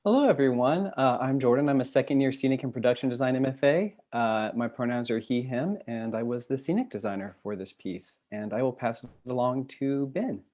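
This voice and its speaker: A young adult man with a medium-pitched voice explains his work on an online panel. He is earnest and articulate. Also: animated, fairly quick, hardly any pauses, mellow. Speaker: student scenic designer. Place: remote video call.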